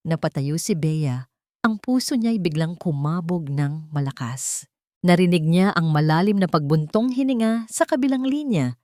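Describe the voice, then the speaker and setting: A woman with a slightly low voice narrates a romantic drama as a storyteller. Her delivery is solemn and measured, recorded dry and close. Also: dark tone, dry recording.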